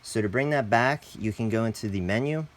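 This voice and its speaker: A man's voice: medium pitch, fairly quick, a little hiss or hum. A man hosting a step-by-step product tutorial, talking in a casual, plain instructional way. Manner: casual, plain instructional, addresses viewers as guys. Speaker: host, tutorial presenter.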